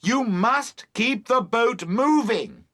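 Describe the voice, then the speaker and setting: A man's voice: very high voice, lively intonation, takes it slowly, fairly bright tone, dry recording, clean studio sound, pitched above the performer's own voice, heavily acted. A man voicing an exasperated boater calling out instructions in a storybook reading. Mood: strained patience. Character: exasperated male boater shouting instructions to girls.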